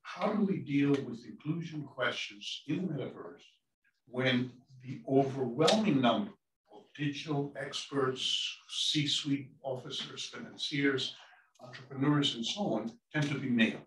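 A man's voice: mid-range voice, measured pace, a bit bright. A man moderating a panel discussion, posing questions with frequent hesitations. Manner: hesitant, many fillers. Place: conference room. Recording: echoey room from far off, faint noise.

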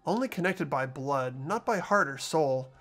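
A man's voice: a little high, animated, moderate pace, a bit bright. A man voicing an earnest, sympathetic and unsure teenage schoolboy in a video game, with a hesitant delivery. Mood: subdued, reflective. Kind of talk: amateur video game voice acting. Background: background music.

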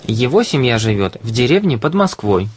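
Male reader for language lesson dialogues, middle pitch, dry recording. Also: big pitch swings, normal speed, husky, no echo.

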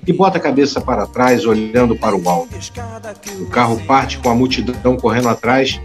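A man's voice: mid-range voice, expressive, fairly quick, soft background music. A man narrating an audio drama in a scripted style.

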